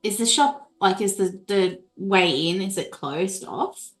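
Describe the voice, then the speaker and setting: A woman's voice: mid-range voice, slow pace, soft background music. A woman chatting casually as a player in a tabletop role-playing game.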